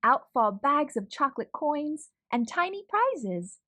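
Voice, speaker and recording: Animated woman reading a picture book. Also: high voice, takes it slowly. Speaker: children's book reader. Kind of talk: read-aloud storytelling.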